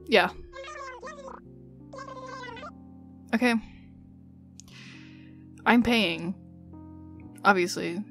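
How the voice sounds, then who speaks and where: A woman acts out a chatty young woman on a dinner date in a girlfriend ASMR roleplay. She is teasing and informal, with a slightly bossy streak and a slow, easy pace. Soft music plays faintly behind her. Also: medium pitch. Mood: generous, casual. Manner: informal, teasing, giggly, swearing. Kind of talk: casual girlfriend roleplay ASMR, one side of a conversation.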